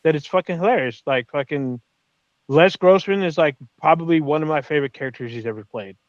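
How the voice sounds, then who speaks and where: A man's voice: a little high, fairly quick, no room sound, highs cut off. A man co-hosting a podcast, talking in an enthusiastic, casual way and swearing freely. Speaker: podcast co-host. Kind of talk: casual chat.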